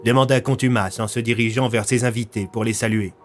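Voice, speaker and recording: A man's voice: medium pitch, fairly even intonation, a little fast. A man narrating a scripted audio story in the manner of a storyteller. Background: faint music.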